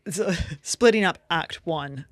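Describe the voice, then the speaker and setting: A woman's voice: on the low side, expressive, unhurried, no echo. Chatty woman co-host, dry close recording. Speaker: podcast co-host. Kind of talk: casual podcast chat.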